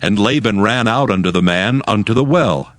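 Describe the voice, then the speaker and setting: A man's voice: fairly low pitch, lively intonation, moderate pace, a bit bright. A man narrating scripture for a dramatized audio Bible in a formal storytelling manner.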